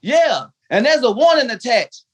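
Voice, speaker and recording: A man's voice: extremely high pitch, big pitch swings, normal speed, a bit bright, husky, exaggerated voice. A man playing a loyal but cheeky household valet in 1950s radio comedy style, with dry, sassy punchlines. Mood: wry.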